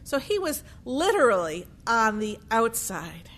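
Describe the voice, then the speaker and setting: A woman telling a true story as a storyteller, with deliberate, measured phrasing. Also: a little high, big pitch swings, fairly bright tone.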